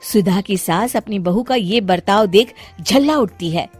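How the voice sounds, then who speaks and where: A woman's voice: medium pitch, unhurried, breathy edge, no room sound, faint music behind. A woman narrating a scripted moral story for an animation as storyteller.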